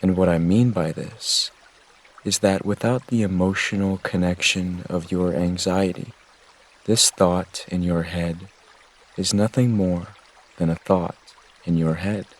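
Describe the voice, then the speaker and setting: A man gently guiding a meditation with measured, soothing instructions. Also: sits low, fairly even intonation. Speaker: meditation guide. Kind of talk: guided meditation narration.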